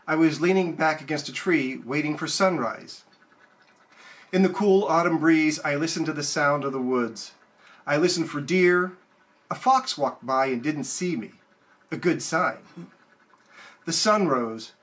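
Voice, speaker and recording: A man's voice: fairly high pitch, fairly bright tone. A man who chats casually and reads his own poem at an open mic. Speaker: poet. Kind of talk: casual chat and poem reading.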